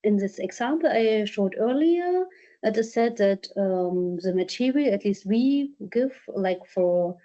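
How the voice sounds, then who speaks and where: A woman's voice: medium pitch, lively intonation, measured pace, warm tone. A woman presenter answering audience questions at a workshop, hesitant with many fillers and restarts. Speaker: presenter from a culture collection. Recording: dull lo-fi conference audio.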